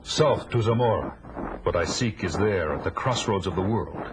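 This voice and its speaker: A man's voice: sits low, lively intonation, moderate pace, noisy background. An actor playing a proud, vengeful barbarian warrior who tells his tale in a grandiose, declamatory audio drama style. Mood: gruff, resolute. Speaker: hero and narrator.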